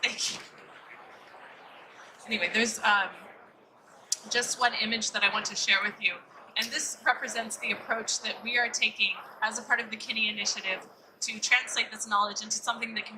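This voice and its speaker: A woman's voice: a little high, background noise. A woman from California with a Californian American accent, giving an upbeat conference presentation and asking and answering her own questions.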